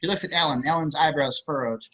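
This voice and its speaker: A man's voice: on the high side, fast talker, bright, forceful tone. A man reading stage directions as scripted narration in a screenplay table read. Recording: slightly dull video-call audio.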